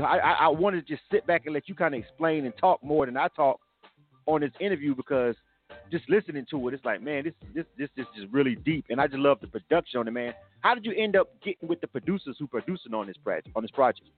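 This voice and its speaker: A man hosting a hip hop radio interview, energetic and slangy, often repeating himself. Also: fairly high pitch. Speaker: radio show host. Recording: lo-fi narrow sound with music behind.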